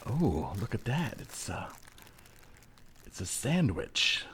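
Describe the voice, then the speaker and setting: A man voicing an awed, furtive scholar from the future, speaking in a secretive hush in an improvised comedy sketch. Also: lowish voice, very animated, takes it slowly, slight background noise, full character voice. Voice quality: husky, hushed.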